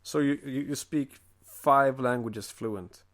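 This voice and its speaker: A man's voice: medium pitch, takes it slowly. A man hosts a casual podcast interview. He teases his guest and often trips over the start of his questions, restarting them mid-sentence. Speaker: podcast host.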